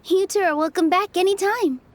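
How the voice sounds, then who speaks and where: A woman playing a young, gentle and encouraging theater dancer in a video game. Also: extremely high pitch, normal speed. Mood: warm, grateful. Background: game soundtrack music.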